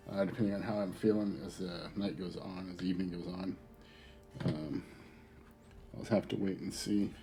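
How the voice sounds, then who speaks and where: A man's voice: on the low side, slightly rough. Stuffy middle-aged man chatting while crafting. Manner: relaxed, chatty, thinking aloud while crafting. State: stuffy with a cold. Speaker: hobby livestream host. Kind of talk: casual chat while building a model. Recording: faint background music.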